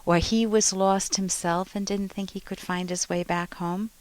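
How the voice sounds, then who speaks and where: Clear-voiced middle-aged woman giving advice. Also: mid-range voice, fairly even intonation, moderate pace. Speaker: psychologist advice show host. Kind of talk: conversational call-in advice.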